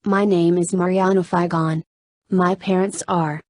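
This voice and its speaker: A woman's voice: fairly low pitch, little pitch movement, unhurried, darkish tone, no room sound. A woman voicing a naive, earnest, confused young woman patient in a story reading, questioning and hesitant. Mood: earnest, puzzled.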